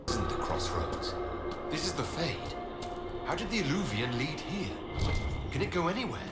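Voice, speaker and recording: An actor playing a steady, dryly sardonic heroic commander in a fantasy game. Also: male voice, fairly high pitch, moderate pace. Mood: concerned, questioning. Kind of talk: dramatic fantasy game acting. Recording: echoey, music behind.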